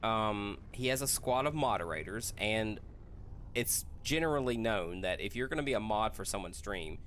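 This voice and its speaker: Low, animated man chatting over background noise. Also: normal speed. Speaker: podcast host. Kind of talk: casual group chat, telling a news story.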